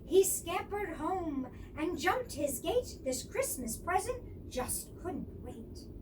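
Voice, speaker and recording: Woman, picture-book read-aloud, expressive, theatrical, slow pace, distant mic, background noise. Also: very high voice. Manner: expressive, theatrical, with chatty asides. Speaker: storyteller.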